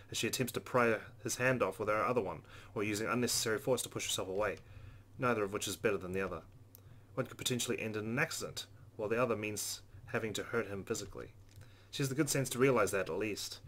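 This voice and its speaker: A man's voice: lowish voice, a bit bright. A man hosting a game playthrough, reading visual novel narration aloud. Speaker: let's play host.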